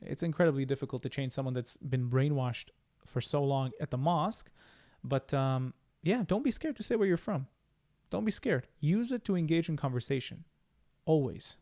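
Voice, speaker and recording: A man's voice: on the high side, expressive, normal speed, a little mellow. A man from Israel, hosting reaction commentary and stressing his points by repeating them. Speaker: channel host.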